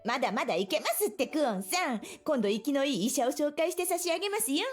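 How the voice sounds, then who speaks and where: A woman's voice: high-pitched, expressive, rapid-fire, faint music behind. An actress voicing a mischievous, dramatic grown woman in a visual novel, playful and teasing. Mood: playfully teasing.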